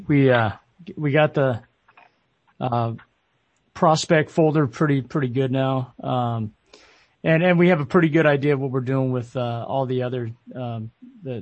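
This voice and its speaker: A man's voice: medium pitch, fairly even intonation, muffled recording. A man updates his colleagues, stumbling over repeated words before getting the point out. The sound is dry and close, with no room echo. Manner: halting, many repeated words. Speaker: team member. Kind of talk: business meeting.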